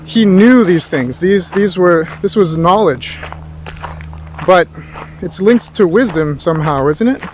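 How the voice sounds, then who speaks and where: A man's voice: high voice, lively intonation, measured pace, slight background noise. A man giving an informal philosophy lecture as a tutor, thinking aloud in a rambling, thoughtful way with rhetorical questions.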